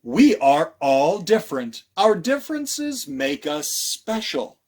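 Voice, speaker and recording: A man reads to children as a storytime librarian. His voice sits a little high and swings widely in pitch. He takes his time, with theatrical pauses and questions aimed at young listeners. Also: very animated. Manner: theatrical, with dramatic pauses and hushes. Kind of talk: animated children's storytime read-aloud.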